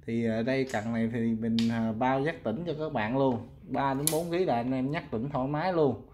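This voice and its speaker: A man's voice: mid-range voice, normal speed, a little mellow, a little hiss or hum. Southern vietnamese guy showing off stuff he sells, mid voice, chatty and informal, talking to viewers like buddies. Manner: informal, addresses viewers as friends. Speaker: fishing rod seller presenting products. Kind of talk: casual product showcase.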